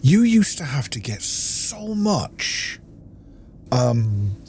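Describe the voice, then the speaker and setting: A man's voice: mid-range voice, very animated, very slow, darkish tone, slight background noise. A man giving casual gameplay commentary, musing and thinking aloud to himself. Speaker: gamer, let's play host.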